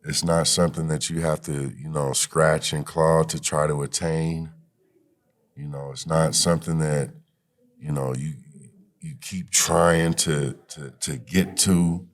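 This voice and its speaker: Guy with a super low deep voice, chatting like a mentor on a podcast, encouraging, lots of you knows. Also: steady tone, unhurried. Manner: encouraging, uses "you know" often. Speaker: podcast guest, youth activist and coach. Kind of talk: conversational interview with motivational encouragement.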